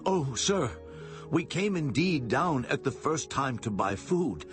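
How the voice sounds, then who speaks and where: Animated, anxious biblical brother. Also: male voice, medium pitch, takes it slowly. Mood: anxious, appeasing. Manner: animated, formal, anxious explaining. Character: anxious Israelite brother, deferential and defensive. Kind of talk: dramatized scripture acting. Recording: music behind the voice.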